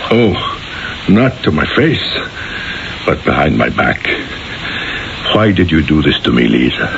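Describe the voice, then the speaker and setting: Very deep, gravelly, jealous husband. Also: male voice, sing-song, unhurried, full character voice. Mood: bitter, accusing. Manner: brooding, theatrical, menacing delivery. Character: menacing, jealous, brooding wealthy Florentine husband. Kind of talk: 1970s radio drama acting. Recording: dull, noisy 1970s radio broadcast.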